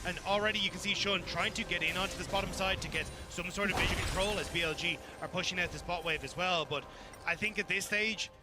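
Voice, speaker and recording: High-pitched male game analyst with music behind. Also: fairly even intonation, fast. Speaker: esports analyst commentator. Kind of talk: live game commentary. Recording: clear music behind broadcast audio.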